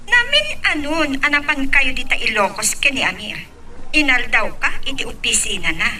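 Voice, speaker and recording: A woman's voice: high voice, sing-song, normal speed, pushed and bright, full character voice. A woman with an Ilocano accent playing a scheming, imperious rich madam in an amateur audio drama. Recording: noisy, distant mic.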